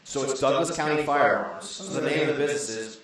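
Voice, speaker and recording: A man's voice: mid-range voice, expressive, fast talker, a bit bright. A man co-hosting a casual radio talk show with a teasing, joking manner. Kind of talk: casual chat. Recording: very echoey room.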